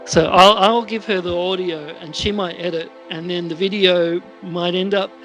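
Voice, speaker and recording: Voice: medium pitch, steady tone, moderate pace, fairly bright tone, soft background music. A podcast co-host around forty chatting casually in a meandering, conversational way. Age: late 30s to early 40s. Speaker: podcast co-host and script reader. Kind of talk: casual chat.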